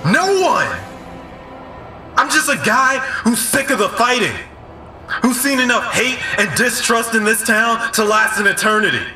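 An actor playing a fed-up rebel addressing a divided town in an audio drama, declaiming with passion. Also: male voice, extremely high pitch, lively intonation, measured pace, sharp tone, faint music behind, exaggerated voice. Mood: impassioned pleading. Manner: declamatory, impassioned. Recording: echoing, distant, calling from above.

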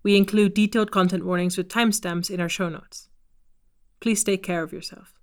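A woman announcing a fiction podcast in measured, scripted narration. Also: medium pitch, steady tone.

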